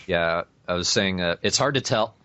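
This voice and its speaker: A man's voice: low-pitched, moderate pace, bright, forceful tone. A man hosting a podcast in casual chat with a dry, blunt manner, recorded on a dull lo-fi voice chat. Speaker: podcast host.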